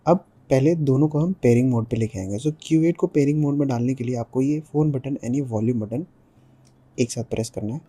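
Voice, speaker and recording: A man's voice: mid-range voice, fairly quick, dark tone, husky. A man with an Indian accent giving a casual product review, explaining step by step and mixing Hindi with English. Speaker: motorcycle gear reviewer.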